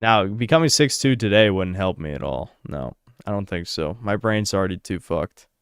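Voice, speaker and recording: Blunt, crude man with a smooth voice. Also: low-pitched, lively intonation, moderate pace, no room sound, clean studio sound. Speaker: livestream host reading donations. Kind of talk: casual livestream chat.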